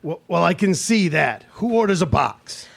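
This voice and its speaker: An exasperated office-worker husband played by a man in an old-fashioned domestic radio play. His voice sits fairly high with some creak, and he scolds and questions with emphatic, nagging insistence. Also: big pitch swings, normal speed, exaggerated voice. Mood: weary, curious. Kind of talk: live radio play acting.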